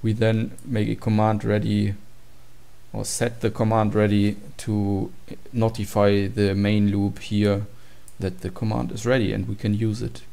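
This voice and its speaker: Male tutorial presenter: low pitch, gravelly fry, plain matter-of-fact explaining. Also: level tone, measured pace, warm tone. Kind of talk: informal screen-recorded code walkthrough.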